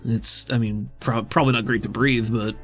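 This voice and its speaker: A man acting as game master, narrating and role-playing casually with an easygoing, halting delivery. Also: mid-range voice, steady tone, fairly bright tone, soft background music.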